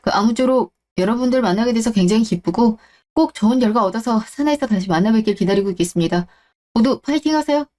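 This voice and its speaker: A woman's voice: fairly high pitch, fast talker, dark tone, close to an everyday voice. A woman voicing a polite company representative in a radio drama, giving a formal announcement to applicants. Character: polite company host addressing job applicants.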